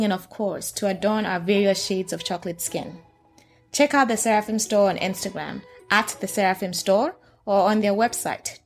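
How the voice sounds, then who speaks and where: Give me a woman host of a podcast with a mid-pitched voice and a smooth, friendly read. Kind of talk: scripted intro and casual interview.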